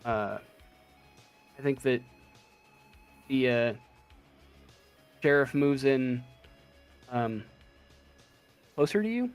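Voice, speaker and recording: A man narrating an improvised tabletop game as game master, halting and searching for words. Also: mid-range voice, big pitch swings, clear, resonant tone, faint music behind.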